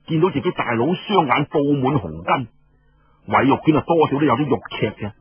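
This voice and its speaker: A man's voice: mid-range voice, expressive, fairly quick, breathy edge, no echo, lo-fi sound. A man with a Cantonese accent telling a story colloquially and dramatically, full of rhetorical questions and exclamations.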